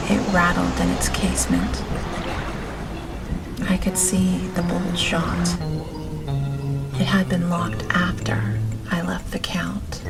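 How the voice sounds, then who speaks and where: A woman reading an audiobook in a gentle, soft, measured and dramatic way. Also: fairly low pitch. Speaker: audiobook narrator. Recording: music behind the reading. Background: music, storm ambience of rain and thunder.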